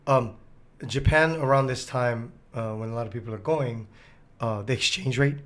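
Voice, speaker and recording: Hoarse male co-host, easygoing chat, quick to laugh. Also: medium pitch. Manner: laughs easily, joking. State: hoarse, losing his voice. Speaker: podcast co-host. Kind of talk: casual chat.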